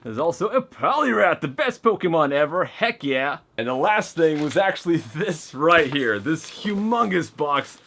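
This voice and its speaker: A man casually opening fan mail on camera, chatty and excitable, reading letters aloud and stumbling over his words now and then. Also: a little high, moderate pace, few pauses, slight background noise.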